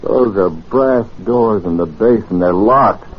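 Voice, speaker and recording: Deep-voiced time traveller in vintage radio drama. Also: male voice, takes it slowly, breathy edge. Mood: apprehensive. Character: wary male time traveller and narrator, easily frightened, gentle. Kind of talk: 1940s-50s radio acting, dramatic narration. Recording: dull old radio recording, music underneath.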